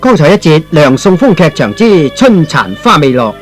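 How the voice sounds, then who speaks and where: A man's voice: a little high, very animated, moderate pace, faint music behind. A man narrating a scripted serial drama in the dramatic manner of old radio storytelling.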